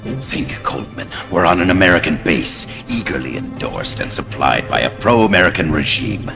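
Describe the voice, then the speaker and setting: An actor playing a smug, scheming middle-aged Soviet spymaster, speaking theatrically in a dramatic video game. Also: male voice, low voice, sing-song, moderate pace, vocal fry, over music, exaggerated voice. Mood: gloating.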